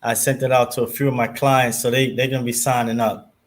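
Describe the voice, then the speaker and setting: A man's voice: medium pitch, flat delivery, a little fast, a little hiss or hum. A man from Baltimore with a Baltimore accent, a guest on a casual livestream who talks loosely and keeps saying yes. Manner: affirming, loose, frequent "yes" interjections.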